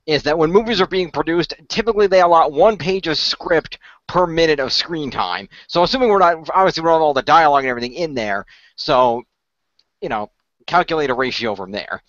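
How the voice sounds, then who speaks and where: Male player, brisk casual delivery, somewhat high voice, dull recording. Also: animated, fairly bright tone, no echo. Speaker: role-play show player. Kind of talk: casual group chat.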